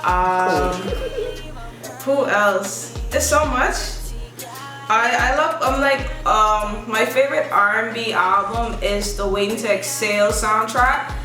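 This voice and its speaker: A young woman, a musician answering interview questions in a soft, relaxed, rambling way. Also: a little high, slow pace. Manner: soft-spoken, relaxed, loose rambling phrasing. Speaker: musician guest. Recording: echoey room from a distance, music behind.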